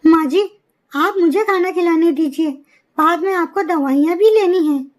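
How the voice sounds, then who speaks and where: A woman as high-pitched, dutiful young bird wife. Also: normal speed. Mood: caring, earnest. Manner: polite, earnest, soothing. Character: gentle, dutiful young daughter-in-law bird, caring and studious. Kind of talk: animated moral story acting.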